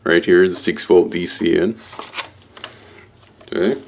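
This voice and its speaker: A man giving a casual, halting unboxing walkthrough as a product reviewer, explaining things piece by piece. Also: low-pitched, fairly even intonation, vocal fry, slight background noise.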